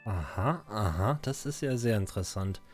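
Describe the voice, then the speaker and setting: A man presents a game playthrough in a fairly low voice. He thinks aloud casually, murmuring short reactions and musing over what to do, with faint music behind him. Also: expressive, normal speed, a little mellow. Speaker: Let's Play presenter.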